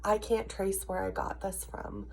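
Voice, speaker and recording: An American woman in her early thirties talks casually to her viewers in a personal rant. Her voice is husky and often drops into a gravelly creak, worn by a cough and sore sinuses. She sounds frustrated and leans hard on her points at a medium pitch. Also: little pitch movement, measured pace. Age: early 30s. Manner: frustrated, emphatic. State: ill, with a cough and congested sinuses. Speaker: video diarist.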